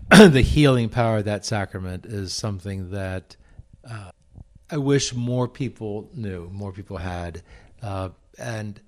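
A priest interviewing on a radio program, speaking haltingly, restarting and repeating words as he gathers his thoughts. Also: mid-range voice, darkish tone.

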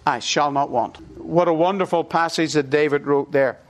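A middle-aged Irish pastor delivering a sermon, his voice sitting a little high for a man. He leads his congregation in call-and-response, repeating short lines with emphasis so they can echo them back. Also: steady tone, moderate pace.

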